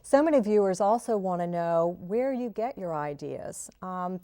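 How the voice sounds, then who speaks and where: Low-voiced woman interviewer, dry sound. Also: animated, normal speed, mellow, no room sound. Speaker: show host, interviewer. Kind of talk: interview hosting.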